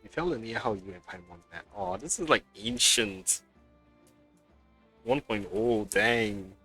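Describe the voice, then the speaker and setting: A male livestreamer with a fairly low voice and lively intonation. He comments casually on the game he is playing. Faint game music runs behind him. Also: a little slow. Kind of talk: casual let's play commentary.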